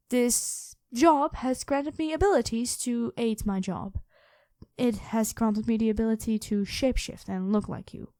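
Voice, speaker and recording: An imperious dragon queen in human form, acted by a woman, speaks in a fairly high, mellow voice. She is regal and condescending, laying down terms with calm menace. It is an intimate ASMR roleplay, recorded very dry. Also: dry recording. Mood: self-assured. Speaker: voice actor in an audio roleplay. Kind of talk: ASMR roleplay monologue addressed to the listener.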